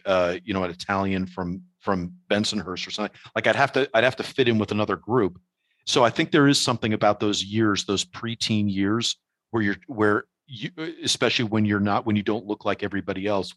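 A man from New York City hosting a casual conversation, telling stories with animated energy and swearing freely. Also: fairly low pitch, dry recording. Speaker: podcast host.

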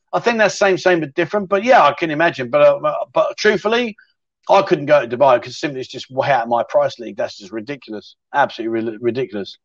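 Matey host, middle-aged, rambling chat, slightly raised pitch, dry close mic. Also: male voice, dry recording, lo-fi sound. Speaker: livestream chat show host. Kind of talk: casual livestream chat reading viewer questions.

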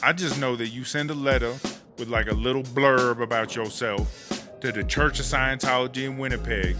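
A man's voice: mid-range voice, close to an everyday voice. An actor playing a brash, proud woman prison inmate who tells stories in swaggering street slang for a comedy audio drama.

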